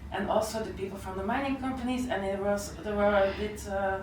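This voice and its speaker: A woman's voice: mid-range voice, steady tone, brisk, darkish tone. A woman activist speaking at a discussion after a film, hesitant and often repeating words as she restarts. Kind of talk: casual panel discussion. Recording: echoey room, mic far off, noisy.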